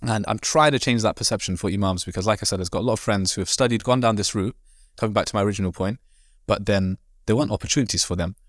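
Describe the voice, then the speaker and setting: A man from Devon, an imam, talking casually as a podcast guest in quick, rambling sentences full of fillers and restarts. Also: fairly low pitch, no room sound, clean studio sound. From Devon, England. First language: not Arabic. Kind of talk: casual conversational interview.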